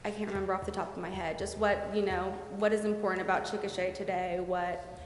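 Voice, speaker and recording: A woman's voice: mid-range voice, flat delivery, fast. A woman presenting and explaining at a public meeting in a council chamber. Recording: echoey hall, noisy background.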